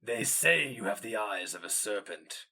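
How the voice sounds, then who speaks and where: A man acting a low-key menacing, stern mage. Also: expressive, pushed and bright, husky, full character voice. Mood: bland menace. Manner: mild, menacing, deliberate. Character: stern scowling hero mage, blandly sinister and contemptuous.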